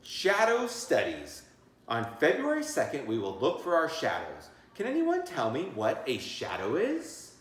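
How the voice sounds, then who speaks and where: Man, teacher read-aloud, very expressive pitch swings, encouraging, chatty asides, echoey room. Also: unhurried. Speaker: teacher reading a picture book aloud. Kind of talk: read-aloud storytelling with asides and questions to children.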